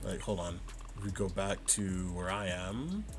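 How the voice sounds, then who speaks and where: A man calmly breaking down his own match footage, thinking aloud and stopping to rewind and check his plays. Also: low-pitched, a little slow, faint music behind. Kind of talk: casual vod review commentary.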